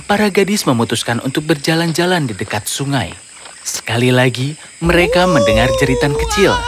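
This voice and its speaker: A man narrating a scripted children's fairy tale as a storyteller. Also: mid-range voice.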